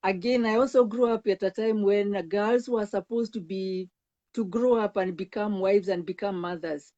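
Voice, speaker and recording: A woman's voice: mid-range voice, steady tone, moderate pace, mellow. An older woman with a Kenyan accent, a counselor earnestly recounting her own life as a guest, emphatic, often restarting words. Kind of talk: earnest personal testimony. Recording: dull lo-fi sound.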